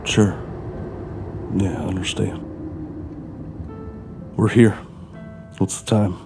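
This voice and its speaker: An actor playing a guarded, determined thief in an audio drama, with a terse, muttering delivery. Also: male voice, low voice, fairly even intonation, takes it slowly, creaky, over music, full character voice. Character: tense, desperate male thief, guarded and determined.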